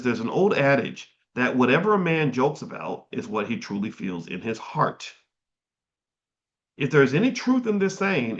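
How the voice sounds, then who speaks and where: A middle-aged man reading passages from a history book aloud and stopping to comment on them in a casual way. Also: mid-range voice. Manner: reads passages and breaks off to comment. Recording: dull, slightly lo-fi sound.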